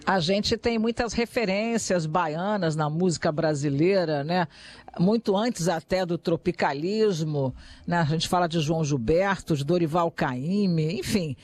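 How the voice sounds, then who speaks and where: High-voiced male interviewer. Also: measured pace. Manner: engaged, affirming follow-ups. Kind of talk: interview questions.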